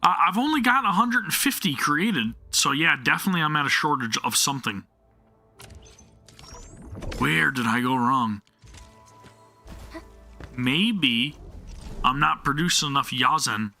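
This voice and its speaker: A man's voice: on the high side, lively intonation, a little slow, creaky, long pauses, sharp tone, faint music behind. A man live-streaming a game, talking to viewers and thinking aloud in a casual way, often questioning himself.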